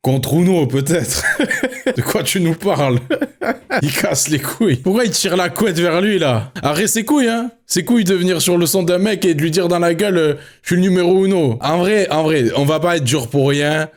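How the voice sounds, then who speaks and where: A man streaming live and reviewing an album for his viewers, who swears constantly and swings from mulling things over to excited, laughing rants at the chat. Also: high voice, normal speed. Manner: swears a lot, addresses chat, jumps from musing to excited ranting; laughs often.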